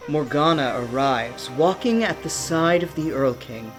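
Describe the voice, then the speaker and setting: A woman's voice: deep voice, expressive, measured pace, music in the background. A woman narrating a scripted fantasy radio play with a dramatic storytelling manner.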